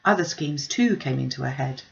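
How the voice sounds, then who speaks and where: A woman reading a classic novel aloud as an expressive storyteller. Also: a bass voice, fairly quick, vocal fry, highs cut off. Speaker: audiobook reader.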